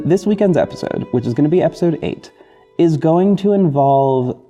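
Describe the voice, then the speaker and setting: A man hosting a podcast, talking casually and apologetically to listeners in a halting, self-correcting, rambling way. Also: on the high side. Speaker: podcast host and audio drama creator. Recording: dull lo-fi recording with music bed. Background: soft guitar backing music.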